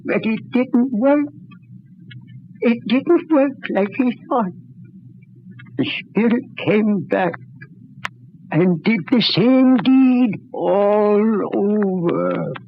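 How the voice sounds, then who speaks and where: A man playing an eerie, gloating figure who taunts slowly in old radio horror style. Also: sits high, animated, vocal fry, exaggerated voice. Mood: taunting, gloating. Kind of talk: 1940s radio horror acting. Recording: muffled, faintly noisy old radio recording.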